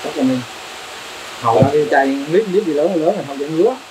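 A middle-aged farmer talks quickly and plainly from an open-sided shed, rambling through his practical explanations. The camera mic picks him up from a few meters away. Steady background noise from the farm sits under his voice. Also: a little high. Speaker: shrimp farmer. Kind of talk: casual on-site interview. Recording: noisy outdoor farm recording.

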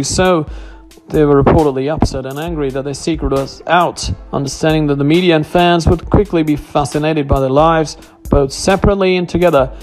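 A man's voice: on the high side, darkish tone. A man reading a scripted royal news narration, stumbling now and then as he reads. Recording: music behind the voice. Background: background music.

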